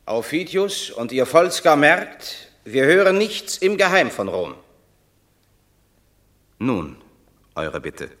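An actor playing a proud, unbending Roman general torn by family feeling, declaiming classical verse in a radio play, between hushed and ringing tones. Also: male voice, on the high side, sing-song, slow pace, long pauses, exaggerated voice. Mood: stern, forceful.

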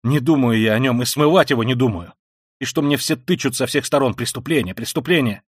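A man reading an audiobook voices a tormented, proud and feverish young man who speaks quietly and wearily and flares into shouting rage. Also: fairly low pitch, normal speed, no room sound, studio quality, close to an everyday voice. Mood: furious. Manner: quiet and weary, then bursts into shouting rage. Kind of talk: audiobook reading of a classic novel.

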